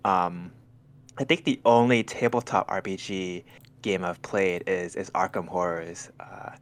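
Very low-voiced hesitant man from Thailand. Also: fairly even intonation, unhurried. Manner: hesitant, many restarts and "like" fillers. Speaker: podcast guest. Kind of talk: casual chat over a call.

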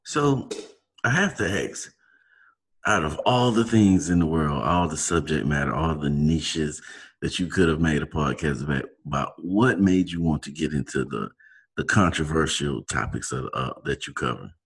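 A man's voice: very deep voice, expressive, normal speed, husky. A man interviewing a guest in casual chat, rambling and searching for words with many fillers. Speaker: podcast interviewer.

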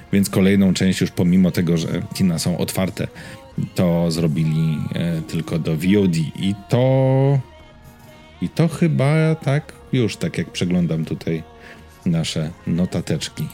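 Man, deep voice, casual podcast co-host, hesitant word-searching delivery, faint music underneath. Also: mellow.

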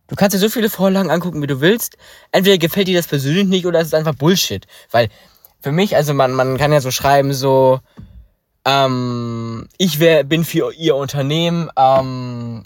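A man's voice: on the high side, dry recording, clean studio sound. A teenage young man chatting casually as podcast co-host, rambling and hesitant with many filler sounds. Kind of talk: casual chat.

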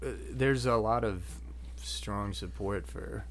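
A man's voice: fairly low pitch, slow pace, background noise. A man in his thirties, a musician being interviewed, talking casually in a rambling way with many fillers and restarts, in a band practice room. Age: 30s. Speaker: musician guest. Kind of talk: casual conversation.

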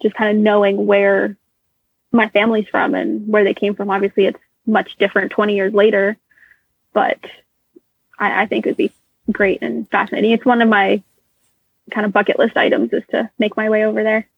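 A woman's voice: medium pitch, little pitch movement, normal speed, creaky, long pauses, breathy edge, dry recording. A woman in her twenties, a native Russian speaker, answering interview questions thoughtfully with small fillers. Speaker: interview guest. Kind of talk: casual interview conversation. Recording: tinny phone line.

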